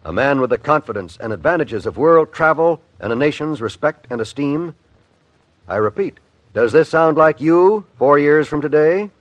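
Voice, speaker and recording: Emphatic American radio announcer, dry sound. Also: male voice, on the high side, dry recording. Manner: emphatic, declamatory. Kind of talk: 1950s scripted recruitment ad read.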